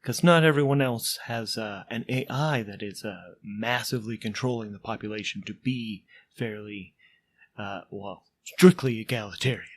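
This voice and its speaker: A man's voice: lowish voice, normal speed, slight background noise, natural voice. A man voicing a polite, fretful passenger in a tabletop story, hesitant and wordy, with formal phrasing. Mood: earnest, hedging. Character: Ulan, polite, fretful male passenger explaining awkward matters.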